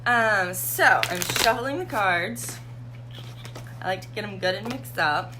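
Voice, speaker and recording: A slightly hoarse woman hosting a live tarot reading and chatting casually with her viewers. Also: mid-range voice, expressive, slow, background noise. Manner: chatty, warm, greets viewers by name.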